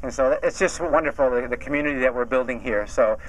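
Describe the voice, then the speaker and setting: Male emcee, casual friendly intros, halting delivery, faint street noise behind. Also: medium pitch, low-quality recording. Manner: friendly, hesitant, many "uh" fillers. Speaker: nonprofit executive director hosting the event. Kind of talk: informal event introductions. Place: outdoors at a house build site.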